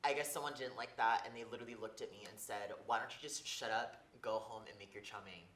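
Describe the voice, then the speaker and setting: A young college student from California telling a personal memory in a reflective way during a casual panel discussion. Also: male voice, lowish voice, fairly even intonation, fast, a bit bright. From Torrance, California. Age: young adult. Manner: reflective storytelling. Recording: roomy recording.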